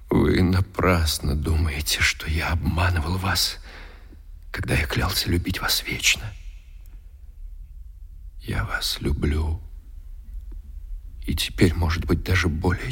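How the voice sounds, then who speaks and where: An actor playing an ardent, genteel gentleman suitor in a radio drama, with hushed, florid delivery. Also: low-pitched, vocal fry, husky, exaggerated voice. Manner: impassioned, hushed, florid declamation. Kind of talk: theatrical Russian radio drama acting.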